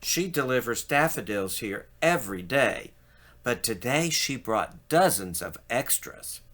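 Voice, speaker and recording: A man voices a male flower shopkeeper in a storybook. He is helpful and matter-of-fact. The delivery is unhurried, with a bright tone. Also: medium pitch, big pitch swings. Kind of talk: children's storybook read-aloud.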